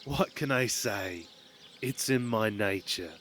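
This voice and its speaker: A man's voice: lowish voice, takes it slowly, a bit bright. A man voicing a sly, smug trickster god in a fantasy audio drama. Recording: amateur recording with background noise.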